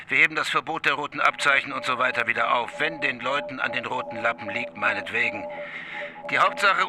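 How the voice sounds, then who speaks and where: An actor playing a self-assured high-ranking army commander in a radio drama, speaking in a brisk, clipped manner. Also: male voice, close to an everyday voice. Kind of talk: German radio drama acting. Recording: clear music behind the voice.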